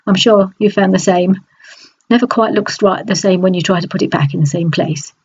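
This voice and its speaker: A woman with a stuffy, nasal voice from a cold, chatting in a relaxed voice-over about a craft project, with many small restarts. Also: mid-range voice, fairly quick, breathy edge, lo-fi sound. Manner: chatty, hesitant, frequent restarts. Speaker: scrapbooking crafter. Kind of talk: process video voice-over.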